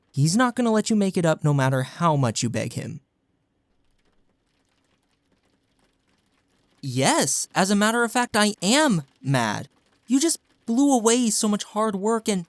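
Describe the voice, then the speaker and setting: A man's voice: high voice, dry recording. Man acting as young college best friend, close dry sound. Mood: exasperated, upset. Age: young adult. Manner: casual, earnest, playful. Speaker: audio roleplay voice actor. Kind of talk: intimate audio roleplay acting, one-sided conversation.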